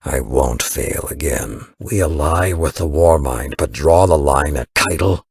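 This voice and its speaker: Game casting: bitter old veteran mentor, extremely low, rasping voice, grave and forceful, dry close recording. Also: male voice, no echo, heavily acted. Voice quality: very deep, gravelly, husky. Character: stern, embittered old male war veteran mentor. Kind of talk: dramatic video game voice acting.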